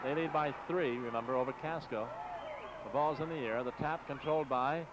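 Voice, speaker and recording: A man's voice: mid-range voice, normal speed, noisy background. An American man calling live basketball play-by-play as a radio announcer in clipped, running phrases on a 1960s AM broadcast. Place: courtside in a large exposition hall.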